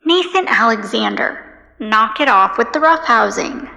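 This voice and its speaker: A woman's voice: on the high side, animated, measured pace, gravelly, bright, forceful tone, heavily acted. A woman playing an exasperated mother scolding her young son in an audio drama. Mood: firm, exasperated. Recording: reverberant room.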